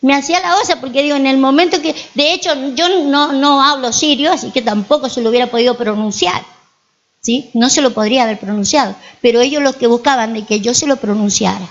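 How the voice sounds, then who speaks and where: Argentine lady pastor preaching, high voice, keeps asking the church questions, muffled lo-fi sound. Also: fairly quick. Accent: Argentine Spanish. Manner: storytelling with frequent rhetorical questions to the congregation. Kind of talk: sermon.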